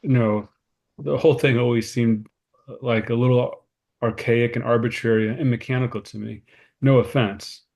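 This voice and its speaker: A man's voice: medium pitch, fairly even intonation, normal speed, creaky, darkish tone, lightly acted. A man acting an anxious, straight-laced and sceptical character in a naturalistic table read. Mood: awkward curiosity.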